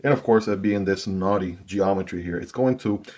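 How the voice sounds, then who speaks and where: A man's voice: sits low, a little fast, a little mellow. A man hosting his own gear channel, chatting casually to viewers as he unboxes and reviews a knife. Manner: chatty, talks straight to viewers.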